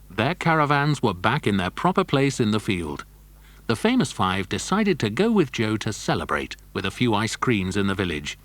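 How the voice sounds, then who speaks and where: A man's voice: fairly low pitch, a bit bright, no room sound. A man narrating a children's adventure story from a script.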